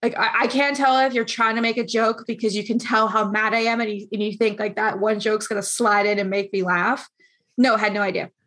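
A woman in her late twenties interviewing a guest over a video call, chatty and animated, acting out what others said. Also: medium pitch, brisk. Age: 20s.

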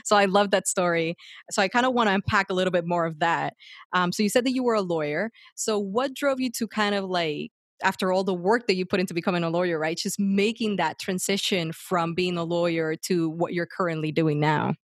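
Female podcast host from Puerto Rico, upbeat and friendly, low-pitched, dry recording. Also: brisk, no echo. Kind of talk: casual interview.

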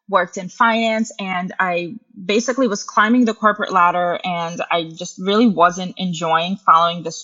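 A young adult woman from Russia, the guest on a podcast interview, talking through her story in a relaxed, earnest way with some fillers. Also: fairly low pitch, lo-fi sound.

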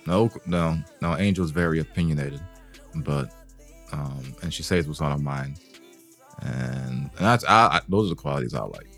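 A man's voice: very deep voice, moderate pace, fairly bright tone, faint music behind. A man co-hosting a podcast, bantering casually and teasing with laid-back slang. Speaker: podcast co-host.

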